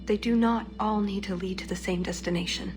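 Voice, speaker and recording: A woman's voice: mid-range voice, fairly even intonation, brisk, music in the background, close to an everyday voice. A woman playing a wry, determined young female elf in a video game, speaking in a dry, earnest way. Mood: serious, guarded. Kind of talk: video game dialogue acting.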